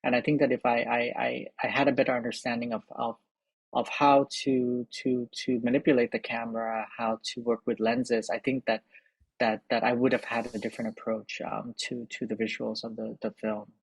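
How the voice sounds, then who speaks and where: Man from Thailand, middle-aged, interview guest, halting speech, dry close recording. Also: medium pitch, gravelly, breathy edge, no room sound, rough recording. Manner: halting, many repeated words and fillers. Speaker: film editor and director, interview guest. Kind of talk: thoughtful interview answers.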